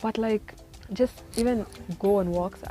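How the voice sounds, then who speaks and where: A woman's voice: medium pitch, a little slow, mellow. A twenty-year-old woman vlogging casual life advice, rambling and chatty with lots of "like" and "you know", often laughing at herself. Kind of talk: casual rambling monologue to camera. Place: in the city where she lives.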